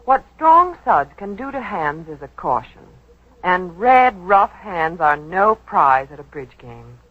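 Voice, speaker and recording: A woman's voice: lowish voice, big pitch swings, takes it slowly, dry recording. A woman performing scripted 1940s radio advertising dialogue with an emphatic, knowing sales delivery. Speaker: commercial spokeswoman. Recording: dull 1940s radio broadcast recording.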